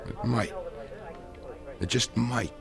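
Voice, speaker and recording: A man's voice: mid-range voice, slow pace, vocal fry, faint music behind. An actor playing a bitter male former business partner in a 1970s radio drama, wary and then grimly resolved. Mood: tense.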